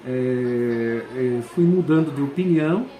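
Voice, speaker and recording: Principal, 40s, warm mellow tone, halting delivery, roomy echo. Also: male voice, mid-range voice, a little hiss or hum. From southern Brazil. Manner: hesitant, pausing often to search for words. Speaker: school principal. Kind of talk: answering interview questions. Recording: echoey room.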